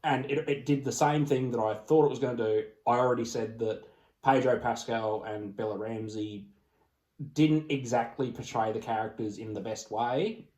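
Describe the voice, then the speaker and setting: A man's voice: mid-range voice, steady tone, moderate pace, darkish tone. A man co-hosting a casual podcast, blunt and opinionated in the way he talks. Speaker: podcast co-host. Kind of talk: casual chat. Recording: echoey, roomy sound.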